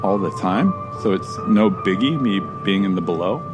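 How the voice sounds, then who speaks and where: An actor playing a wry, bewildered American traveler in an audio drama, with a casual, deadpan delivery. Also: male voice, low voice, normal speed, over loud music. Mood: wary, wry. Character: wry, bewildered American male traveler stranded among ghosts. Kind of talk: audio drama acting with first-person narration.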